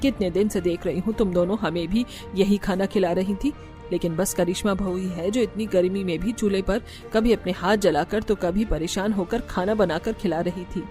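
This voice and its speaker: Woman voicing firm middle-aged cartoon mother-in-law, measured, faint music behind. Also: mid-range voice, dark tone, natural voice. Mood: stern. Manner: firm, authoritative. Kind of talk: cartoon voice acting.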